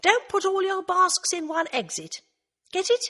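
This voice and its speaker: A woman's voice: animated, normal speed, sharp tone, exaggerated voice. Woman putting on a camp, chattering man. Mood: teasing, camp. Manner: flamboyant, teasing, high-flown. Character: camp, chattering middle-aged man, joke-teller.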